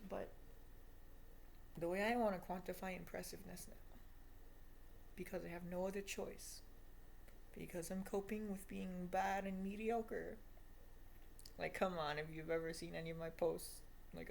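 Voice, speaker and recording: A woman's voice: on the low side, steady tone, normal speed, creaky, long pauses, slight background noise. A woman of twenty giving a confessional video diary monologue in an emphatic, repetitive, self-deprecating way. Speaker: vlogger.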